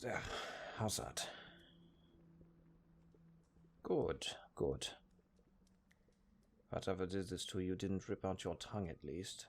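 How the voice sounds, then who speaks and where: A man with a German accent playing a cold, condescending necromancer master in an audio roleplay, speaking in a deadpan, unhurried, gravelly way. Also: low-pitched, slight background noise. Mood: dry, condescending. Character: cold, dryly matter-of-fact male necromancer master.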